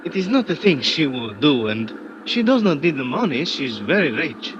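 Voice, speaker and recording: An actor playing an earnest, friendly local guide in a 1950s radio drama. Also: male voice, on the high side, big pitch swings, normal speed. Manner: earnest, broken English. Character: local man, friendly guide, Spanish accent. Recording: dull old radio recording with hiss.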